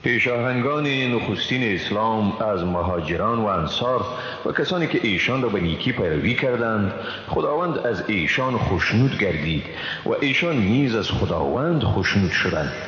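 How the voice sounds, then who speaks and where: Low-voiced Afghan Dari man in long reverb. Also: lively intonation, a bit bright. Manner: solemn, measured, careful phrasing. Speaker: translation reader. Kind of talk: formal scripted reading of scripture translation. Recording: long reverb, dull, faint noise.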